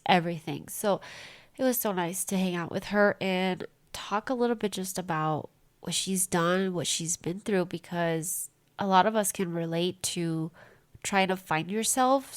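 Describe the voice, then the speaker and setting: A young woman with a congested voice, rambling through a casual diary-style podcast from a small room at home. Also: medium pitch. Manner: rambling, chatty, self-interrupting. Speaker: podcast host.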